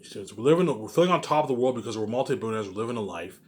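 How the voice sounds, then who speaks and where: Fast-talking, boastful man rambling. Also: medium pitch, animated, darkish tone. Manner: boastful, repetitive, with frequent catchphrases; emphatic, swearing freely. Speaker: online video creator. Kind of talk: rambling casual monologue.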